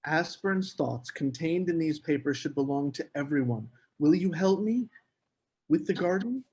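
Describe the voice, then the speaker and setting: A man's voice: a little high, animated, moderate pace, a little mellow, husky, rough recording. A man at a screenplay table read playing a scheming, charming literary man with formal, earnest phrasing. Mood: eager, polite.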